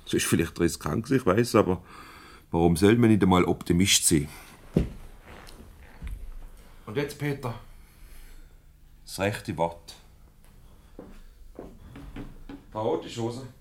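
An actor playing a shrewd, teasing boss and go-between in a 1970s Swiss German radio comedy, probing, wry and avuncular. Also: male voice, fairly low pitch, expressive, moderate pace, long pauses, a little hiss or hum. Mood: encouraging. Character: shrewd, teasing boss mediating a couple's quarrel.

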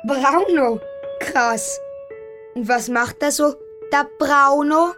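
A woman's voice: sits high, takes it slowly, fairly bright tone, faint music behind. A woman playing a boastful, easily impressed young boy in a children's audio story. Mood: curious, impressed.

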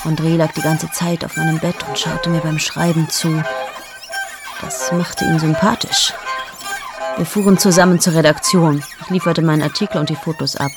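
A woman's voice: sits low, steady tone, moderate pace, over music, close to an everyday voice. An actress in her thirties narrating a radio play monologue as a restless female journalist, with intimate, confessional storytelling that often drops to a whisper. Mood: brooding. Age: 30s.

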